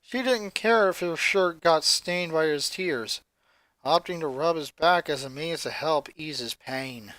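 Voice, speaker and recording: A man reading a scripted story aloud as an expressive narrator who sometimes stumbles over words. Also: on the high side, unhurried, dry recording, rough recording. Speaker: fan fiction reader.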